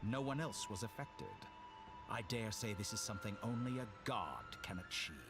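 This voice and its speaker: A man voicing a smug, silky game villain with godlike power, theatrical and condescending in his mock-polite, deliberate delivery. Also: lowish voice, expressive, close to an everyday voice. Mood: smug, amused. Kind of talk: scripted video game dialogue. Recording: game music behind the voice.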